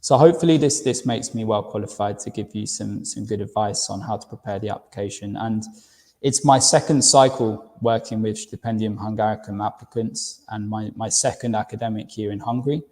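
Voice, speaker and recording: A London-accented man in his thirties gives a friendly university webinar, stumbling over and repeating words now and then. His voice sits at a middle pitch with a dark, mellow tone. A long reverb trails after his words, as if he is speaking in a large room. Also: a little fast. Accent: London English. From United Kingdom. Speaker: university recruitment director presenting a webinar. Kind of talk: webinar presentation.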